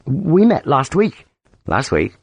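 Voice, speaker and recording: A man voicing a gruff, scarred soldier in an audiobook story, curt, blunt and scornful, with a growl. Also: fairly high pitch, wildly swooping pitch, slow, darkish tone, no echo, pitched above the performer's own voice, heavily acted. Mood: puzzled, curt. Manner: curt, blunt, impatient, swearing.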